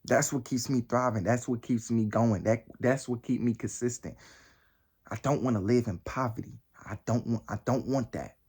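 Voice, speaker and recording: A young man of nineteen talking straight to his viewers in a motivational vlog. He hammers the same phrases over and over, full of slang and cursing. His voice jumps up high and gets loud whenever he drives a point home. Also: normal speed, husky. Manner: emphatic and repetitive, heavy slang and swearing; voice climbs high and loud when pressing a point. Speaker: motivational vlogger. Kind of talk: motivational talk to camera.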